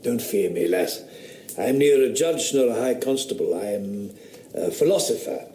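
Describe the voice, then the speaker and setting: A man's voice: mid-range voice, lively intonation, normal speed, mellow, roomy sound, slight background noise, close to an everyday voice. A man playing a wry, mocking philosopher. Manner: dry, teasing, sardonic. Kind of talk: radio drama acting.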